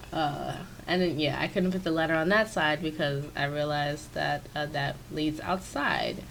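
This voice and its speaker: A woman with a raspy, stuffy voice, chatting casually and rambling with many fillers, recorded on a sensitive microphone in her home office. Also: sits low, measured pace, fairly bright tone, a little hiss or hum. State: stuffy from sinus trouble. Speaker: video creator. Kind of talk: casual commentary over a speed-build. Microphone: new sensitive desk microphone.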